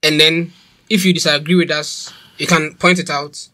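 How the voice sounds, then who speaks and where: Bright-voiced young man, agreeable. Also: fairly high pitch, little pitch movement, moderate pace. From Ghana. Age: young adult. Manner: agreeable, echoes and affirms the other speaker. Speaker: podcast co-host. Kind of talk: casual podcast discussion.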